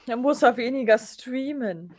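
A woman's voice: on the high side, animated, measured pace, a crowd in the background. Casual woman in podcast conversation. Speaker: podcast co-host or guest.

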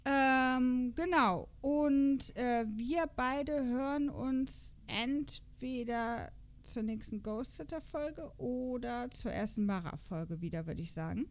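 A woman's voice: sits high, slow pace. A woman hosting a casual podcast, chatting and reading out bits with playful, gushing exclamations. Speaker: podcast host. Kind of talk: casual podcast chat, reading out character profiles.

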